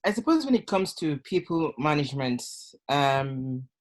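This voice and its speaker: A man panelist in an online discussion who answers in a measured, analytical way and breaks the question down. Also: a little high, sing-song.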